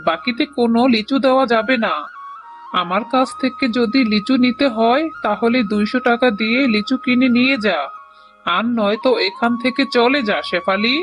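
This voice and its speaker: Woman as male tiger fruit seller, cartoon, fairly high pitch, stingy, dismissive, scolding, faint music. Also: moderate pace, natural voice. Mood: scornful. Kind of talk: Bengali cartoon voice acting.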